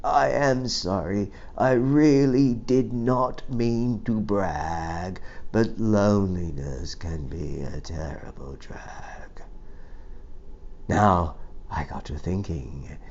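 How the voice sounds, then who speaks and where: A lonely old goat from a children's storybook, acted out by a man reading aloud. He is wistful and sorry for himself, with a slow, mellow, plaintive delivery. The sound is slightly dull. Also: fairly low pitch, voice pushed down.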